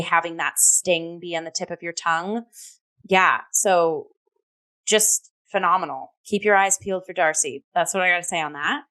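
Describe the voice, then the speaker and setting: A woman's voice: fairly low pitch, bright, forceful tone, low-quality recording. A woman co-hosting a casual review chat, conversational and emphatic as she searches for words. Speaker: talk show co-host and theatre reviewer.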